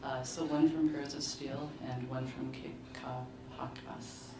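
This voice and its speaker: A woman giving a measured, deliberate literary reading of short fiction at a live book launch. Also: very deep voice, flat delivery. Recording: roomy, distant mic, clearly noisy live venue.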